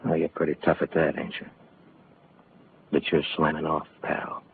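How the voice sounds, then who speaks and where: A bald, cold-blooded gangster in a 1940s radio play, his deep voice low and sneering. He threatens quietly rather than shouting. The sound is thin and tinny, like an old broadcast. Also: monotone, moderate pace, creaky, a little hiss or hum.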